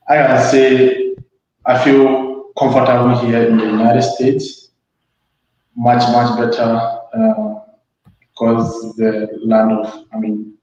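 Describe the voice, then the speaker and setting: A young man with a Ghanaian accent speaking as an interview guest, halting and often repeating words. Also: mid-range voice, darkish tone. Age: young adult. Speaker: webinar guest, PhD student. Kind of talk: informal interview, introducing himself. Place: study room, online call. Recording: echoey room, muffled online call audio.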